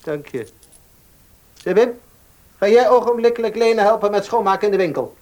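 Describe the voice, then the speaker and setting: A man playing an officious, bossy baker in a radio drama, speaking briskly. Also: high voice, animated, darkish tone. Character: officious, money-minded male baker, bossy brother.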